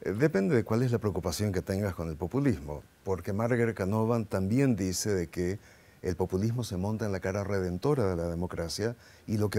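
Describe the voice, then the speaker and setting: A man's voice: on the low side, warm tone. A middle-aged man from Paraguay, a guest in an interview, arguing his political views with passion and many rhetorical questions.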